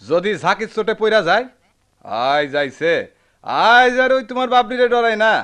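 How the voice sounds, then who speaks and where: A sly village brother in a radio drama, sarcastic and protective. His fairly high voice swings widely and theatrically, taunting in a rural Bangladeshi accent. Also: expressive, normal speed, a bit bright, clear, resonant tone. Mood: mocking, indignant. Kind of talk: Bengali radio play acting.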